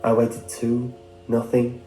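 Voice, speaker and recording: A man's voice: medium pitch, monotone, slow pace. A man reading a scripted first-person horror story as its narrator. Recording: roomy echo under music. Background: music.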